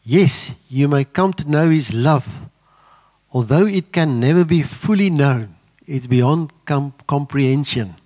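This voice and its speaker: An older man, an Afrikaans speaker, preaching a sermon in an earnest, halting way with many fillers. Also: on the high side, warm tone. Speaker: guest preacher.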